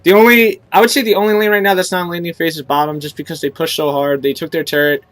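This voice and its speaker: A man's voice: on the high side, steady tone, quick pace, fairly bright tone. A young man with a stuffy nose and sore throat from a cold, casually commentating his own game and coaching viewers with plenty of fillers. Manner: explanatory, coaching tone with many fillers. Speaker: gameplay commentator.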